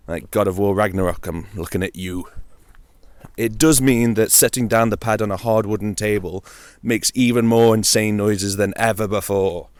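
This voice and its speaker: A man with a fairly low-pitched voice hosts a casual audio log about video games. He talks informally, as if to a friend, and drops in playful, wry asides. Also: steady tone, moderate pace, smooth, no echo. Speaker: host of a gaming audio log.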